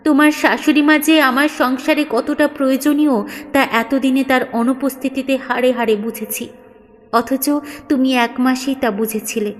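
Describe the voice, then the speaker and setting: A woman's voice: sits high, steady tone, moderate pace, big-room sound, voice pushed up, lightly acted. Woman putting on a brusque older father-in-law. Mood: remorseful. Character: gruff older father-in-law, proud, brusque, secretly remorseful.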